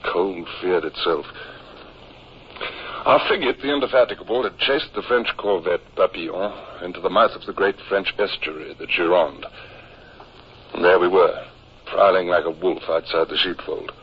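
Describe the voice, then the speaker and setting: A man's voice: low-pitched, normal speed, slight background noise, lightly acted. An actor playing a reflective naval officer narrating memories of his youth in a 1950s radio drama. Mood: grave, reflective. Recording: muffled old radio recording with music.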